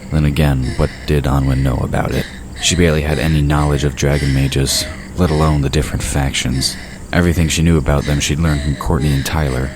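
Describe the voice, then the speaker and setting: A man narrating a scripted fantasy audio drama. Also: rumbling low. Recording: noisy ambient sound effects behind.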